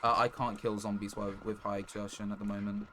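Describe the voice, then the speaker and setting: Low-voiced male game streamer, noisy audio. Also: little pitch movement, fast. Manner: muttering plans aloud to viewers, swearing casually. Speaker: livestreamer. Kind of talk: casual live game commentary.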